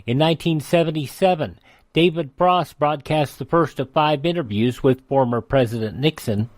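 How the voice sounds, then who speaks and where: A man's voice: on the high side, moderate pace, dry recording. An American man hosting a radio segment, reading a this-day-in-history script with occasional ad-libbed asides. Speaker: radio host.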